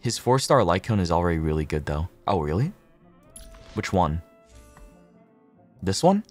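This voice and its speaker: Deep-voiced man on a casual gaming stream. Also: big pitch swings, moderate pace, faint music behind. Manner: casual, sweary, repeats himself, thinks aloud. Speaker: livestreamer. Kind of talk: casual gaming livestream chat with viewers.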